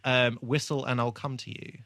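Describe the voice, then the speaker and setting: A man's voice: medium pitch, flat delivery, normal speed, fairly bright tone, dry recording. A man co-hosting a podcast, chatting casually about television in a hesitant, meandering way. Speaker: podcast co-host. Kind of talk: casual chat about a TV show.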